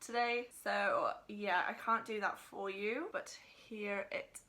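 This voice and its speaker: A woman's voice: mid-range voice, takes it slowly, bright, forceful tone. A Welsh woman, a native Welsh speaker, chatting casually as she unboxes and reviews bookish items, gushing one moment and ranting the next. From Wales. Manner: gushing and chatty, slips into rants. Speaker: book vlogger.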